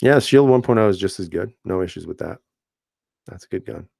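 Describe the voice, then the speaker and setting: A man hosting a casual live stream, reading viewer comments aloud and answering in a relaxed, chatty way with frequent fillers and easy laughs. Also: deep voice, brisk, creaky, no room sound, clean studio sound. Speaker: channel host reading live chat.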